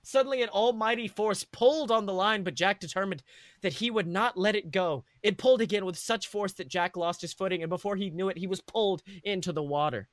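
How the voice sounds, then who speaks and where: Higher-pitched man reading a story aloud. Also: fairly quick, fairly bright tone, no room sound. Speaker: podcast host reading fan fiction aloud. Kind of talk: comedic story narration.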